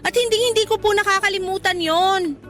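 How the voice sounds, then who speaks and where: A frank, practical grown child in a radio drama. The voice is extremely high, pleading yet respectful. Light music plays behind it. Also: female voice, a little fast, fairly bright tone. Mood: earnest, reproving. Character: outspoken, practical grown child of a widowed mother. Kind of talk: Filipino radio drama acting. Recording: music playing under the dialogue.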